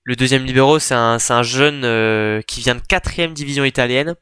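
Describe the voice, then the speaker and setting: A man's voice: medium pitch, normal speed, a bit bright, clean tone, no echo, studio quality. A man co-hosting a casual sports chat, thinking aloud with many fillers and restarts. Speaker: podcast co-host.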